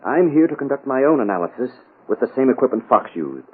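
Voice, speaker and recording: An actor playing a defiant, desperate scientist, scornful and theatrical, in an old radio drama. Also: male voice, mid-range voice, big pitch swings, quick pace, slightly rough. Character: indignant, defiant male scientist holding a gun, desperate. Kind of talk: 1930s-40s radio drama acting. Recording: muffled old radio broadcast recording.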